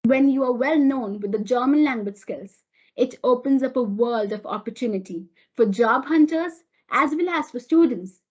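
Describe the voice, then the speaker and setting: Teen girl, Indian English accent, higher-pitched, formal recited school speech, muffled lo-fi audio. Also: slightly rough. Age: teenager. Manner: formal, recited, bilingual greeting. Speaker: student speaker. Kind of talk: prepared speech read aloud. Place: school auditorium. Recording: dull lo-fi audio.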